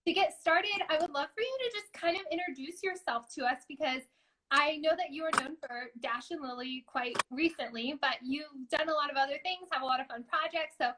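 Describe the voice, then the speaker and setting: Woman, high-pitched voice, interview show host, upbeat, encouraging. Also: fairly quick, bright, forceful tone, low-quality recording. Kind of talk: live online interview, scripted sponsor read then casual chat.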